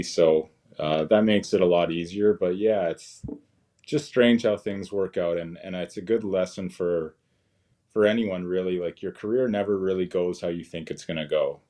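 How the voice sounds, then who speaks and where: A man, a professional hockey player, answering interview questions in a relaxed, modest way. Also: low-pitched. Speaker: guest, pro hockey player.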